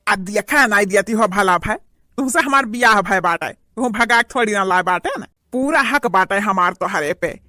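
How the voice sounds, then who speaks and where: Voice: medium pitch, normal speed, bright, forceful tone, no echo, clean studio sound, natural voice. An actor voicing a boastful, filmy cartoon village husband who woos in a swaggering way with an Awadhi accent. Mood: flirty reassurance. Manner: swaggering, breaks into crooning. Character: filmy, boastful village husband wooing his new wife.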